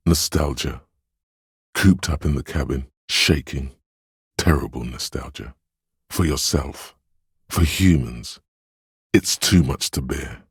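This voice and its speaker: A man voicing a grave, compassionate inner voice in a video game, narrating in a measured, solemn, confiding way with a gravelly, husky tone. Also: a bass voice, no room sound, studio quality, heavily acted. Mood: brooding, compassionate. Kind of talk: scripted game narration, literary and brooding.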